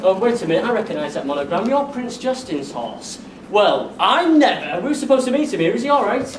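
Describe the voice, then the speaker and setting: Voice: medium pitch, sing-song, brisk, natural voice. A performer playing a bossy, exasperated army sergeant in a pantomime, barking at the troops on a theatre stage. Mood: chatty, concerned. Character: bossy army sergeant drilling hapless soldiers. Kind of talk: broad pantomime stage acting. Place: theatre stage before an audience. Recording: echoey theatre, music and audience noise.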